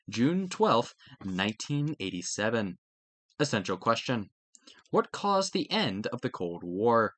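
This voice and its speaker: A man's voice: mid-range voice, fairly bright tone, dry recording. A man reading educational text aloud in a scripted narration style, now and then stumbling over a word and correcting himself. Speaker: reader of a study textbook aloud. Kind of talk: scripted read-aloud of a history textbook.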